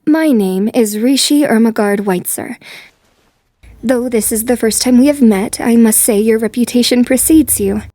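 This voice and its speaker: Woman as a high-voiced, composed young noblewoman. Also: moderate pace, warm tone, no room sound, close to an everyday voice. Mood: apologetic, formal. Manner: polite, reflective. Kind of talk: anime dub acting with inner narration.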